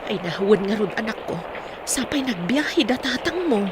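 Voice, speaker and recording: Casting: woman as Ilocano village mother, radio play, high swooping voice over faint background noise. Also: animated, normal speed, lightly acted. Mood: concerned. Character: village mother, wife of an old man. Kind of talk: Ilocano radio drama acting.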